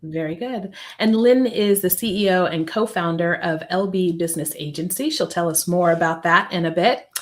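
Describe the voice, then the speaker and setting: A woman hosting a live interview show, welcoming her guest in an upbeat, friendly way and asking quick questions. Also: on the low side. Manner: upbeat, welcoming, brief acknowledgments. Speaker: podcast host.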